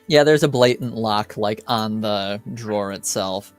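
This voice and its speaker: Man, game master, smooth clear voice, unhurried pace, casual halting narration, faint music behind. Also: fairly low pitch. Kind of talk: improvised tabletop game narration.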